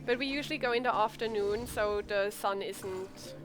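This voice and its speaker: A woman's voice: mid-range voice, normal speed, over music. A woman streaming a game, chatting casually and reacting expressively and informally to what happens.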